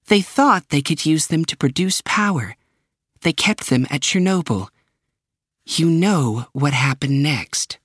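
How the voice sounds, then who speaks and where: A man doing a composed woman intelligence director who speaks in a raised, dry voice. She is matter-of-fact and unshaken, and her lines stay even. She sounds like a storybook official. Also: a little high, a little slow, husky, dry recording, pitched above the performer's own voice, full character voice. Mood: calm.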